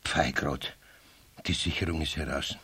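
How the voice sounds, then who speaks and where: An actor playing a grumpy, easily flustered older craftsman with a Bavarian accent and a gravelly voice, muttering and scolding in a children's radio play. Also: extremely low pitch, fairly even intonation, measured pace, fairly bright tone. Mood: flustered, apologetic. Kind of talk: 1970s German children's radio play acting. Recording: faintly noisy 1970s radio play tape.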